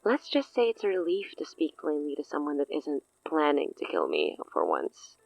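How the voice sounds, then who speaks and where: An actress playing a poised, teasing society hostess with veiled menace in an audio drama. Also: female voice, low voice, wildly swooping pitch, normal speed, vocal fry, no room sound, highs cut off, exaggerated voice. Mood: veiled threat. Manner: arch, theatrical, veiled menace.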